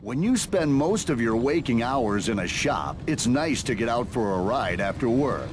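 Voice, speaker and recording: A man's voice: mid-range voice, sing-song, moderate pace, background noise, natural voice. A man delivering scripted TV-style narration for a video game.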